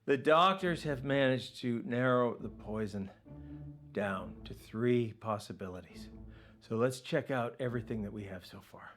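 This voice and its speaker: A man's voice: mid-range voice, measured pace, over music, close to an everyday voice. An actor playing a wry, friendly man in a mystery game, speaking in a conspiratorial way. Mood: confiding. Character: wry, friendly man helping investigate a poisoning.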